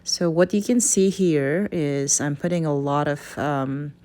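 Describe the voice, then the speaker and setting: A woman's voice: deep voice, measured pace, warm tone. A woman from Kazakhstan with a Kazakh accent gives a casual, hesitant, unscripted cooking voice-over, often stopping and restarting. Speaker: home cook presenting a recipe.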